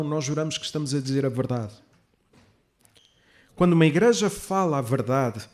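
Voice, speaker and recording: A man preaching a sermon as a pastor, teaching in a measured, didactic way with emphatic stresses and rhetorical questions. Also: a little high, a little mellow. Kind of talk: sermon, expository preaching to a congregation.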